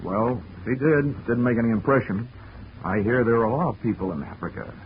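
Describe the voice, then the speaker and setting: An actor playing a sardonic, unflappable hardboiled investigator with an American accent, acting and narrating in a 1940s radio drama. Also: male voice, mid-range voice, fast talker, background noise, lightly acted. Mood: dry, unimpressed. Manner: sardonic, deadpan, slangy. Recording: muffled 1940s radio recording, music behind.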